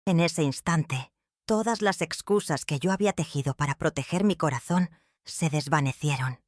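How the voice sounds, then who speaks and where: A woman reading a first-person dramatic story in a measured, somber way. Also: low-pitched, dry recording, clean studio sound.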